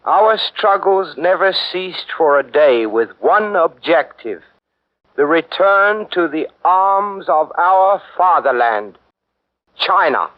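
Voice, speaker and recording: An actor playing a determined male resistance leader in a 1940s radio drama, speaking in a solemn, deliberate way. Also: on the high side, animated. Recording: dull 1940s radio broadcast.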